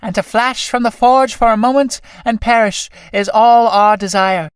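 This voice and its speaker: A woman voicing a chorus of ecstatic, doomed moths in a low, hushed, chanting recitation. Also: moderate pace, clear, resonant tone, dry recording, natural voice.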